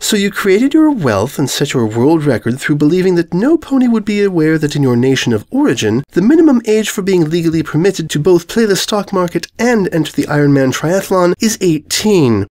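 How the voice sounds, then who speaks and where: A man's voice: fairly high pitch, very animated, brisk, few pauses, darkish tone, dry recording, studio quality, pitched above the performer's own voice. A man voicing a refined, haughty female pony with prim, elaborate, sarcastic politeness in a fanfiction story reading. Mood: scornful, dry.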